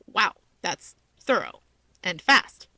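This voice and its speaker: A woman voicing a gruff, cocky young male wizard in a story reading, blunt and sardonic as he teases. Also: fairly low pitch, sing-song, takes it slowly, bright, forceful tone, no room sound, pitched below the performer's own voice, heavily acted. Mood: wary, questioning. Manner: blunt, sardonic, self-assured. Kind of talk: fan fiction character reading.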